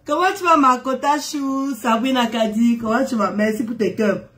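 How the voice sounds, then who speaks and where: A woman's voice: a little high, moderate pace, noisy background. A woman with a head cold, chatting casually on a live stream, greeting viewers, teasing and exclaiming with frequent laughs.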